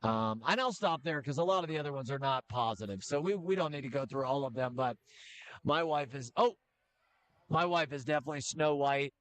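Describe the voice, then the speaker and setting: A middle-aged man doing casual play-by-play for a high school basketball game, chatty and joking, with filler pauses. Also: lively intonation, fairly bright tone. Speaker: play-by-play commentator.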